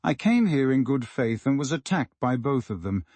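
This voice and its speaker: A man's voice: mid-range voice, big pitch swings, moderate pace, a little mellow, dry recording. A man voicing a frightened, indignant man who protests and accuses melodramatically in a detective novel. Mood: indignant, accusing.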